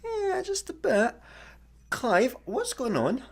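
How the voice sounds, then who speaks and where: An actor with a Scottish accent playing a jumpy, sarcastic, bumbling satellite engineer in a sci-fi comedy radio play. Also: male voice, very high-pitched, slow, gravelly, full character voice. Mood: exasperated. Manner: excitable, stammering, sarcastic.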